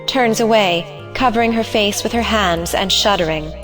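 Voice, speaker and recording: A woman's voice: mid-range voice, moderate pace, a bit bright, soft background music, low-quality recording. A woman narrating the stage directions of an audio play in a scripted reading style.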